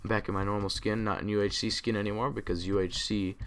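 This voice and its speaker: Tired, raspy young man gaming. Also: on the low side, fairly even intonation, fast talker, fairly bright tone. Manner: rambling, talks to himself while playing. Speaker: gaming channel host. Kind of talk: casual gameplay commentary. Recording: clear typing noise behind. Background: keyboard typing and clicks.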